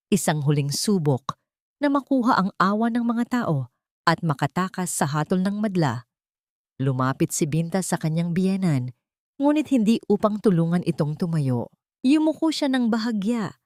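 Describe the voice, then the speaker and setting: A woman narrates a scripted love drama. Her voice is low-pitched and the recording is very dry and close, with a dramatic storytelling delivery. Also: a little mellow, no room sound.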